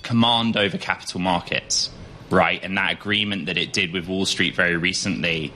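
A man's voice: low-pitched, steady tone, moderate pace, sharp tone, a little hiss or hum. A man, a tech show host, chatting as a guest with lots of restarts and easy laughter. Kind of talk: casual chat.

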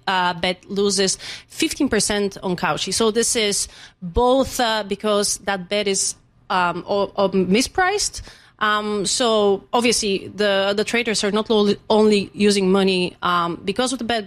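A woman speaks as an interviewed journalist. Her voice sits at a middle pitch, and she explains things in a conversational, expert way. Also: a bit bright. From Bulgaria. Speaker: financial journalist being interviewed.

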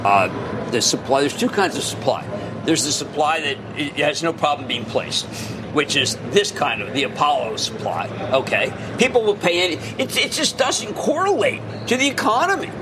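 Excitable man on a noisy trading floor. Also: fairly high pitch. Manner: excitable, emphatic, interrupts with quick asides. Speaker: market commentator. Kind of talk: live financial TV banter. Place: stock exchange floor.

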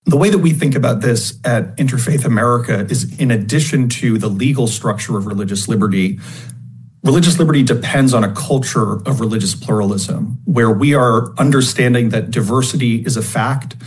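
Man explaining in measured tones. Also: medium pitch. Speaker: interfaith organization representative. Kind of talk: panel discussion remarks.

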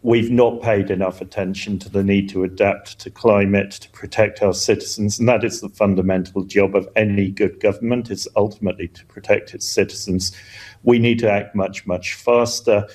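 Man, lowish pitch, expert interviewee, earnest, emphatic, explanatory, stammered word repeats. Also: flat delivery, normal speed, darkish tone. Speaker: climate scientist, expert guest. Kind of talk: broadcast news interview, explanatory answers.

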